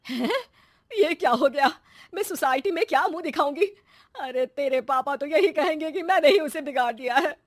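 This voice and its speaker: A woman's voice: high-pitched, moderate pace, pitched above the performer's own voice, close to an everyday voice. Woman playing a fretful, naive Indian-story mother. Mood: alarmed. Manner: fretful, plaintive. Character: naive, anxious middle-aged mother, easily swayed. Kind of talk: acted moral-story dialogue.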